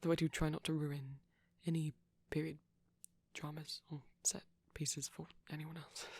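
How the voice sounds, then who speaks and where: A man playing a shy, gentle vampire boyfriend in intimate ASMR roleplay, speaking softly and hesitantly, often close to a whisper. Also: a little high, flat delivery, moderate pace, no echo. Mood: softly enthusiastic, hesitant. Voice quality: soft, breathy, husky, often near a whisper. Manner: hesitant, bashful, rambling tenderly.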